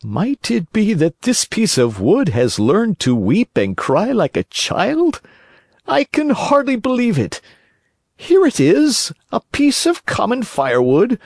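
A man's voice: mid-range voice, breathy edge, no room sound, studio quality. A man voicing a nervous old carpenter in a storybook who mumbles to himself and laughs uneasily. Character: old carpenter, jittery and easily scared.

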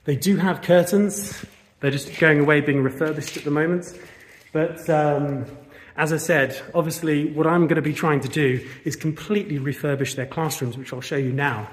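A man's voice: a little high, steady tone, moderate pace, few pauses. A man giving an earnest, casual walkthrough of a school building he is fundraising for, with lots of fillers, recorded on a handheld camera indoors. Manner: chatty, earnest, many fillers. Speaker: volunteer fundraiser showing a school. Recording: echoey hall, long reverb.

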